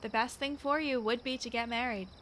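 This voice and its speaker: A woman's voice: fairly high pitch, fairly even intonation, brisk, fairly bright tone, a little hiss or hum, close to an everyday voice. An actress playing a nosy, opinionated married woman in a radio play, speaking emphatically with swooping exclamations. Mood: knowing, effusive.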